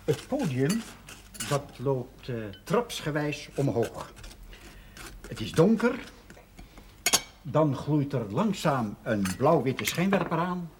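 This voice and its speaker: An actor playing an earnest, pompous amateur playwright in a television drama, halting and theatrical. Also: male voice, fairly high pitch, sing-song. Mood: earnest, hesitant. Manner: hesitant, halting, declamatory. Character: earnest, pompous amateur playwright presenting his lofty verse. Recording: noisy old television broadcast audio.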